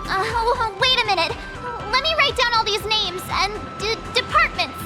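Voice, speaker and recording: Tiny squeaky game girl, blunt n nosy, super animated, loud music under it. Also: moderate pace. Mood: flustered. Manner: expressive, blunt, childlike. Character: young girl, outspoken, indignant and inquisitive. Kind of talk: anime-style video game voice acting.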